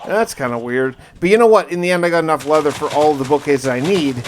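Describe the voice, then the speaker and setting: A man with a slightly high voice narrates his gameplay in a casual, chatty way. He talks in folksy exclamations and mild slang, as a video host speaking to his viewers. Faint noise sits behind the voice. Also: quick pace. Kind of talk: casual first-person gameplay commentary.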